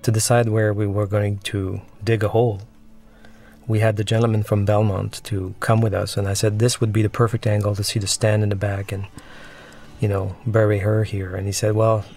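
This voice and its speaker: Low, gravelly man giving film commentary. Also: flat delivery, moderate pace, a little mellow. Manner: reflective, searching for words. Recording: music behind the voice.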